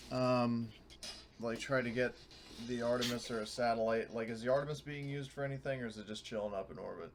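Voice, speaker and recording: A man's voice: medium pitch, fairly even intonation, fairly quick, noisy background. A man playing a tabletop roleplaying game, chatting casually and hesitantly as he thinks aloud.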